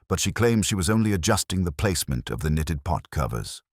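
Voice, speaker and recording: A man's voice: low-pitched, sing-song, a little fast, husky, no room sound, clean studio sound, heavily acted. A man voicing an agitated village committee woman in a storybook mystery, prim, indignant and emphatic. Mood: indignant, flustered. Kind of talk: storybook character dialogue.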